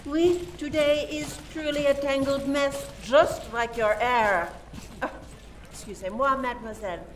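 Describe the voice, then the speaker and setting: Lady doing a suspicious detective, radio play, highish voice, slow, kinda far from the mic. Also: fairly even intonation. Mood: probing, skeptical. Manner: deliberate, probing, theatrical. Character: suspicious French detective methodically questioning suspects. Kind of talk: community theater radio play acting. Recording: distant microphone, faint background noise.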